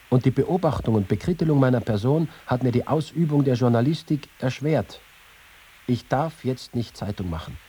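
A man's voice: medium pitch, warm tone, close to an everyday voice. An actor playing a reporter who reads his war notes and letters in a dramatic literary style. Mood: earnest.

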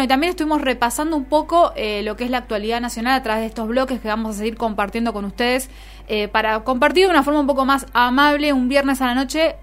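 An Argentine woman hosting a radio show in casual, informal chat with her co-hosts. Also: on the high side. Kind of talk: casual radio chat about the week's news. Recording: music bed under the voice. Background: music.

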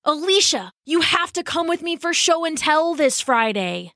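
A woman's voice: high voice, big pitch swings, normal speed, pushed and bright, no room sound, studio quality, voice pushed up, exaggerated voice. A woman voicing an eager, insistent young schoolboy in a children's story, emphatic and pleading. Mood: amazed.

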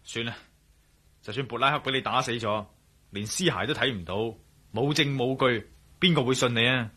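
An actor playing a shrewd, teasing adventurer in a radio drama, with a wry, colloquial manner and a Hong Kong Cantonese accent. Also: male voice, mid-range voice, moderate pace, pushed and bright, slightly rough. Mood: calm, reassuring. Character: shrewd, teasing male adventurer, cool under pressure.

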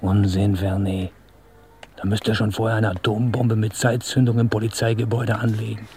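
An actor playing a man's coolly reasoning, insistent inner voice in an old German radio crime drama, measured and persuasive. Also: fairly low pitch, little pitch movement, dark tone, close to an everyday voice. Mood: cool persuasion. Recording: noisy vintage radio play recording.

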